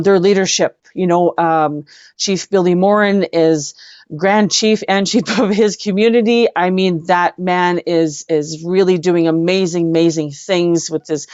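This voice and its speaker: A woman's voice: fairly low pitch, steady tone, unhurried, darkish tone, clean tone. A woman guest telling casual anecdotes in an effusive, emphatic way, repeating herself for stress. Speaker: guest, rock singer. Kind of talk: casual anecdote storytelling in an interview. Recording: dull lo-fi audio, roomy echo.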